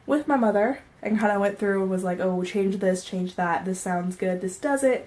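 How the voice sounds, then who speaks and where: A woman's voice: mid-range voice, moderate pace, darkish tone. A young woman vlogging in a casual, chatty way, laughing often and a little stuffy from a cold. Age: young adult. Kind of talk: casual chat to camera.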